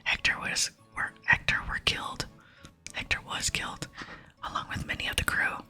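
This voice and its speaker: A woman's voice: moderate pace, bright, forceful tone, soft background music. A woman voicing a male pirate sailor in a video game, reading his salty dialect lines in an ASMR whisper. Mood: conspiratorial. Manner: pirate talk with dialect grammar. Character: male pirate sailor, gruff old mate Frank.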